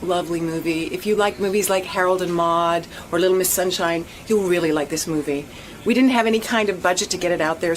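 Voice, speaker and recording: A woman's voice: lowish voice, noisy background. A woman who is a guest actress, chatting casually with lots of repeated words and laughs. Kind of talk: casual chat.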